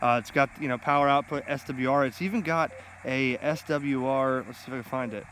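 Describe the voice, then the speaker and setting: A man's voice: mid-range voice, a little fast, fairly bright tone. Casual American man, noisy outdoor recording. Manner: rambling, informal, enthusiastic. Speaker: ham radio hobbyist and video host. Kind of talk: casual unscripted gear review vlog. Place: outdoors on grass beside a pond under shade trees. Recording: outdoor camera audio with clear ambient noise.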